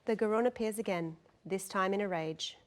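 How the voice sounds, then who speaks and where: A woman's voice: medium pitch, normal speed. A woman narrator reading scene descriptions and stage directions from a script.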